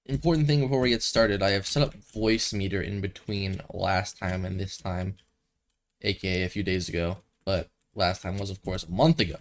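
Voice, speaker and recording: Young male streamer, slightly low voice, a bit stuffy, casual rambling commentary. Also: normal speed, a little hiss or hum. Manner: rambling, thinks aloud, self-correcting. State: a little stuffy from a cold. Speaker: gaming streamer.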